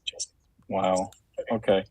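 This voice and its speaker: A man's voice: sits low, level tone, slow, darkish tone, husky. A man hosting a casual livestream chat as the creator of a comic.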